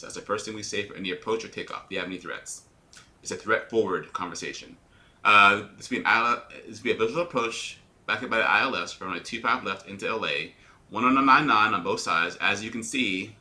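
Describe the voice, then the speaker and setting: Man, airline pilot, radio-announcer delivery, lowish voice, very sharp and forceful tone, walks through checklists for viewers. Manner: talks in a put-on radio-announcer voice; explains checklists step by step and answers viewer questions. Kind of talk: live-streamed flight sim commentary.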